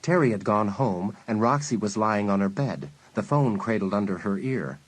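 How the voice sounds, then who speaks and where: A man acting as the measured storyteller of a suspense audio drama, reading scripted narration. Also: on the low side, animated, poor audio. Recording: very loud ambient sound effects behind.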